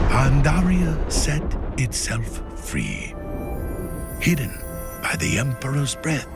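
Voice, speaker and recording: Male narrator, dramatic fantasy storytelling, solemn, deliberate slow pace, gravelly, music behind. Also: medium pitch.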